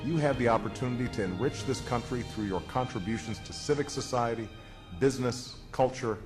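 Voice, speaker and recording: A man delivering a measured, solemn prepared address to new citizens. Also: mid-range voice, music in the background.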